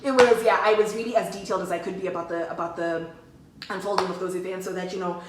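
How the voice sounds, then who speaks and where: A woman's voice: lowish voice, steady tone, fast, reverberant, recorded from a distance, background noise. A young woman telling a story in a chatty, slangy way, talking straight to her viewers. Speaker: vlogger. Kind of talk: casual storytime chat to camera.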